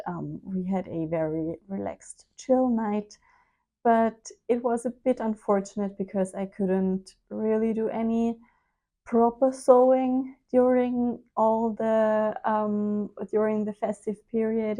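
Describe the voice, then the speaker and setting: A woman in her thirties vlogging about sewing in a chatty, unhurried way, slightly nasal from a cold, on a lapel mic in her home sewing room. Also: mid-range voice, mellow. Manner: chatty, unhurried, with frequent ums. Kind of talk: casual chat to camera. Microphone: clip-on lapel mic.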